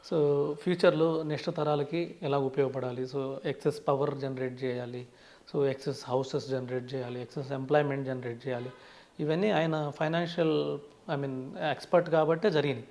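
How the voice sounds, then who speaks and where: A man's voice: medium pitch, fairly even intonation, normal speed, darkish tone. A man with a Telugu accent speaking as a finance expert in an interview, explaining things with English terms mixed in and often starting sentences with "so". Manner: frequently opens sentences with "so".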